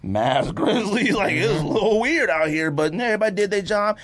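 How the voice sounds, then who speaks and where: American man, husky voice, very lively intonation, casual sports talk, emphatic, exasperated podcast host. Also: high voice, a little fast. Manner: animated, emphatic, exasperated ranting.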